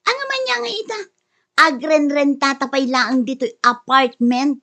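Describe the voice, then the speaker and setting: A woman acting a high-voiced, nagging, demanding wife. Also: unhurried, bright, forceful tone, heavily acted. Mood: scornful, angry. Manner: whiny, scolding, insistent. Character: demanding, nagging young wife scolding her stingy husband. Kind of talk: Ilocano radio drama acting.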